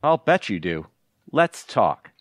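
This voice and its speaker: An actor playing a wry, scheming, easily flustered older husband in an audio comedy. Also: male voice, fairly low pitch, sing-song, takes it slowly, dry recording. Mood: conniving.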